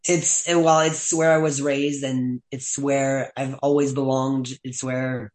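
A man's voice: medium pitch, little pitch movement, measured pace. A young man from Quebec with a French-Canadian accent, chatting casually as a guest, full of "like" fillers. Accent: Québécois French. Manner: rambling, many "like" fillers, laughs often. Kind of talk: casual chat.

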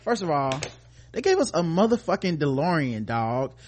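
A man's voice: a little high, big pitch swings, normal speed, a little mellow, slight background noise. An American man co-hosting a podcast in casual, profane, slangy chat, playfully addressing his listeners. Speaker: podcast co-host.

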